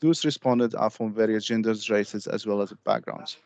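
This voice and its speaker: A man from Syria giving a steady, slightly formal group presentation over a video call. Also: fairly low pitch, normal speed, no echo. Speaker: student presenter. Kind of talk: class presentation.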